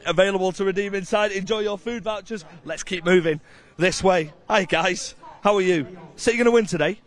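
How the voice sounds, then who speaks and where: Fast-talking, chatty male presenter. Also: high-pitched. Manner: chatty, addresses fans directly. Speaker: roving presenter. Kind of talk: upbeat live fan interviews. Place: outdoors outside a stadium.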